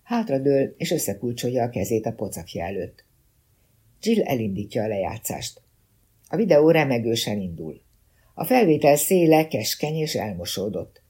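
A man reading a first-person detective novel as a scripted audiobook narration, with a husky voice. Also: medium pitch, big pitch swings, dark tone.

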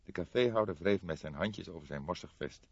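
A man's voice: deep voice, brisk, darkish tone, breathy edge, dry recording, highs cut off. A man reading scripted literary narration as an audiobook narrator.

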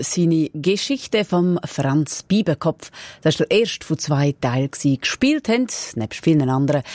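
Swiss accent radio lady doing announcements, slow and deliberate, mellow voice, dry close sound. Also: deep voice, no echo. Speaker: radio presenter. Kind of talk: programme announcement, reading credits.

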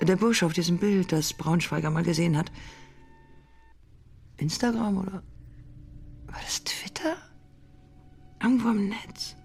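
A creaky, brooding poetic voice. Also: mid-range voice, unhurried, pauses often. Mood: musing, restless. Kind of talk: experimental radio play, fragmented poetic recitation.

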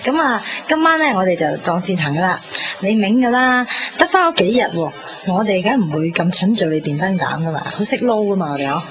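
A woman's voice: medium pitch, expressive, fairly quick, few pauses, crowd noise, close to an everyday voice. An actress with a Hong Kong Cantonese accent playing a chatty, bossy, teasing young female friend in a 1990s radio drama. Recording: dull radio recording, noisy background.

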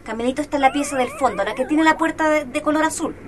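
Woman playing a high-pitched fussy Chilean mom. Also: fast talker, a crowd in the background, natural voice. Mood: caring, busy. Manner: nagging, affectionate. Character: fussy, caring mother of two boys. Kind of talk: educational radio drama acting.

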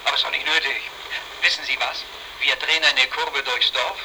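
An actor playing an inquisitive, outwardly helpful middle-aged village driver who keeps insisting, in a naturalistic radio drama. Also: male voice, medium pitch, fairly even intonation, normal speed, noisy background, close to an everyday voice. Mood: busily helpful. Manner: insistent, questioning.

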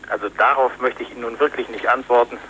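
A man's voice: medium pitch, steady tone, fast talker, sharp tone. A man, a magazine editor, speaking firmly and defensively over the phone, hesitating often with ums. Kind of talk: phone interview. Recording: tinny phone line with faint noise.

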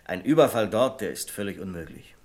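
A man's voice: fairly low pitch, animated, a little fast, darkish tone, natural voice. An actor playing a deferential but self-assured bank deputy in a German radio play, polite and matter-of-fact as he explains things. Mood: reassuring, explanatory.

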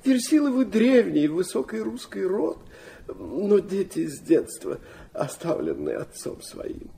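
Swooping, doting, rambling old prince. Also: male voice, high voice, sing-song, unhurried, creaky, mellow, slight background noise, heavily acted. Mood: rambling, animated. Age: older. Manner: hesitant, rambling, stammering, drops in French phrases. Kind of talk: radio play acting.

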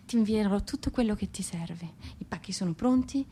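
An actress playing a tormented, romantic and proud woman poet and grieving mother, delivering a dramatic, intense radio monologue with deliberate pauses. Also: mid-range voice, mellow, breathy edge, natural voice. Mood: anxious, pleading. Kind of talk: theatrical radio drama monologue with poetry recitation.

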